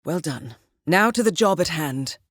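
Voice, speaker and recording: A woman playing a cool, composed intelligence handler in a video game, giving mission briefings in a measured, formal, dryly witty manner. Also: deep voice, lively intonation, dry recording, studio quality. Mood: composed, businesslike.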